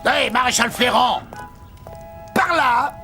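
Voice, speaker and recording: A vain young cartoon scarab speaks in a bright, piercing voice. He is whiny and boastful, with theatrical indignation, and music plays faintly behind him. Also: very high voice, slow, gravelly, full character voice. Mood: indignant. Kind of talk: animated cartoon dialogue.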